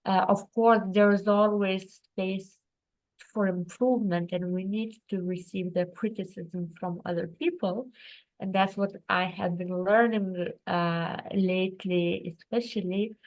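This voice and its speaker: Woman guest, earnest and reflective, slow measured delivery, video call audio. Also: medium pitch, fairly even intonation, darkish tone, rough recording. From Lithuania. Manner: earnest, reflective, with non-native phrasing. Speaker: guest, writer. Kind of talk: personal storytelling in an interview. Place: home in Croatia, on a video call.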